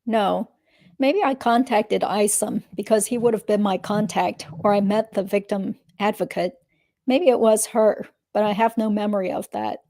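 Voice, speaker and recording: A woman's voice: medium pitch, moderate pace, dark tone, dry recording, lightly acted. A woman reading the part of a guarded witness testifying in a legal deposition. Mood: guarded, matter-of-fact.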